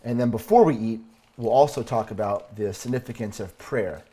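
A man's voice: on the low side, normal speed, dark tone. A man in his thirties, a fitness coach, talking through a meal in his home kitchen, earnest and upbeat, explaining step by step. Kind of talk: cooking demo talk to camera.

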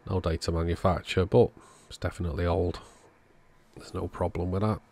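A man calmly narrating a radio repair at his workbench in a matter-of-fact way. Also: sits low, fairly quick. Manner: relaxed, matter-of-fact commentary while working. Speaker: electronics repair channel host. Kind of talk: narrated bench repair tutorial. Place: workbench indoors.

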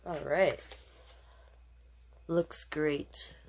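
A woman's voice: deep voice, steady tone, very slow, a little mellow. A woman hosting a children's storytime, reading picture books aloud with playful, encouraging asides to young listeners. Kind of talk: picture-book read-aloud with chatty asides.

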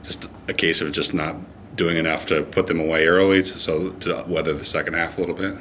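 Male sports interviewer, low and level voice, postgame recap questions. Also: little pitch movement, brisk, fairly bright tone, a little hiss or hum.

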